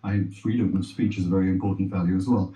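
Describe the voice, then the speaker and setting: A middle-aged man giving earnest, measured and formal answers as a panel guest, heard as TV sound replayed through speakers. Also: deep voice, little pitch movement, dark tone, echoey room, low-quality recording. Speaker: rabbi on a discussion panel.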